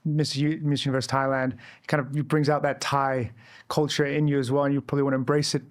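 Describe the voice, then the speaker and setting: A man's voice: mid-range voice, little pitch movement, fast. A middle-aged man hosting a podcast interview, friendly and asking questions with lots of "kind of". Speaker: podcast host, company CEO. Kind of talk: casual interview chat.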